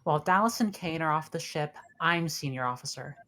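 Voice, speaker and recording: A woman's voice: sits low, brisk, fairly bright tone. A woman playing a stern, wary senior officer on a spaceship, blunt and challenging, in a sci-fi table read. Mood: accusing, firm.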